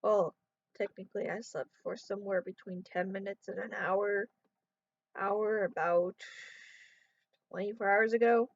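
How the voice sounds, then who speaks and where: A woman talking casually to her camera, sleep-deprived, her voice going hoarse, and rambling as she trails off. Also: mid-range voice, steady tone, unhurried, pauses often, no echo. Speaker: vlogger. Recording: dull, muffled sound in a dry room.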